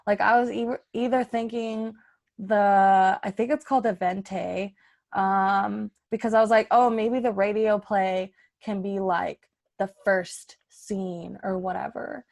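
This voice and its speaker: A woman chatting casually as a podcast organizer, thinking out loud in an informal, tentative way. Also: mid-range voice, steady tone, a little slow, vocal fry. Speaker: podcast host and improv organizer. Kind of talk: casual planning chat.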